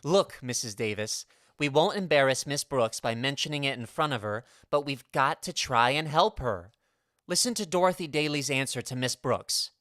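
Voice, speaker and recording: Woman as an eager, low-voiced teenage schoolboy. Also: normal speed, a bit bright, no echo, studio quality. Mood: insistent, earnest. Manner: conspiratorial, grandly declaiming. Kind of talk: vintage radio comedy acting.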